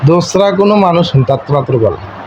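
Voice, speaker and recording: Insistent high-pitched man, eastern Bengali dialect. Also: expressive, fairly quick. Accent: eastern Bengali regional dialect. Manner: insistent, repetitive, domineering lecturing. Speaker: husband on a call with his wife. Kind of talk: informal domestic argument on a phone call. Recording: muffled call recording with faint noise.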